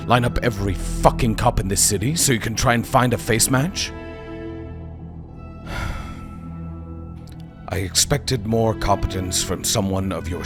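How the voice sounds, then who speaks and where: Man doing a corrupt city boss, fairly low voice, contemptuous and berating, swears freely, music clearly playing under the audio drama. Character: corrupt, bullying male city administrator. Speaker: city administrator.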